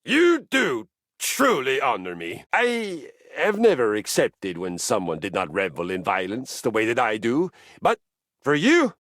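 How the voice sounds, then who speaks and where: An actor playing a passionate, sentimental, violence-loving Viking warrior in a video game, with grandiose archaic phrasing and dramatic pauses. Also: male voice, medium pitch, huge pitch swings, fairly bright tone, no room sound, studio quality. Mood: moved, proud. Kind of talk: theatrical video game character acting.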